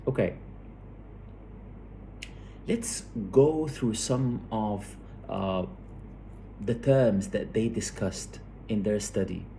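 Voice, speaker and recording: A male lecturer explains academic material at a very unhurried pace. His voice is mid-pitched and a little mellow, and he hesitates, repeats words and checks understanding as he goes. A faint noise sits behind him. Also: gravelly, poor audio.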